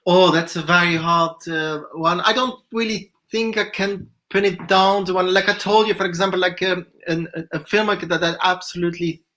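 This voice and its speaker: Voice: medium pitch, fairly even intonation, normal speed, hardly any pauses, bright, forceful tone. A filmmaker guest answering festival questions, searching for words and rambling through restarts.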